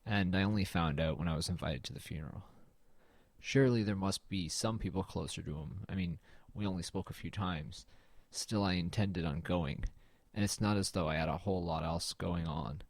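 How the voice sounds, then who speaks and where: Man acting as deep-voiced, brooding melancholy narrator. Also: normal speed, dry recording. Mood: brooding, uneasy. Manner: reflective, hesitant, understated. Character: brooding, melancholy male freelance artist narrating. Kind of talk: first-person audio drama narration.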